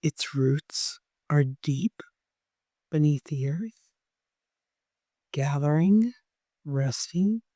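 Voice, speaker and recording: Gentle, slow meditation guide with a mellow voice. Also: medium pitch, lively intonation, no echo, studio quality. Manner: gentle, unhurried, softly spoken with long pauses.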